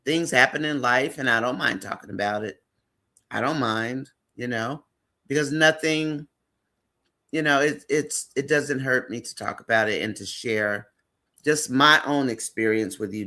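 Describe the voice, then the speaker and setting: A man's voice: mid-range voice, bright, forceful tone. A man hosting a live stream, chatting casually and rambling with frequent fillers.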